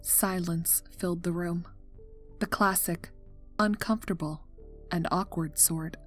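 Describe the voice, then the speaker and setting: A woman's voice: lowish voice, fairly even intonation, measured pace, a bit bright. A woman reading scripted story narration for a fan fiction audio drama. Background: music.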